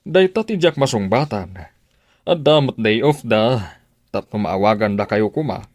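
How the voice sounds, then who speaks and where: A man's voice: fairly low pitch, animated, a little slow, a little mellow, no echo, studio quality. A man playing a polite, reassuring recruitment agency officer in an Ilocano Filipino radio drama. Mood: evasive, calm.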